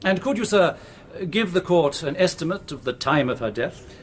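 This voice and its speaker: A man plays a theatrical prosecuting barrister in a courtroom radio play. His fairly high voice swoops with emphasis as he presses each point, over faint background noise. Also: lively intonation, normal speed, close to an everyday voice. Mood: formal. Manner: pointed, pompous, insistent. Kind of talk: period courtroom radio drama.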